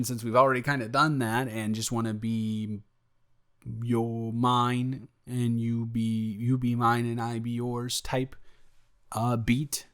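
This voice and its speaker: A man playing a smitten, playful young roommate in an improvised romantic audio roleplay, talking in a halting, self-correcting, jokey ramble. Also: mid-range voice, clean tone, natural voice.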